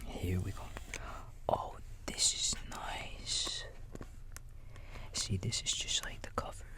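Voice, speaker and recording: Guy being a kindly raccoon babysitter, slow whispery asmr bedtime voice, folksy big brother type. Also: close to an everyday voice. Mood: soothing, playful. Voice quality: soft, breathy whisper. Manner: gentle, reassuring, folksy, halting, talking to a small child. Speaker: ASMR roleplay host.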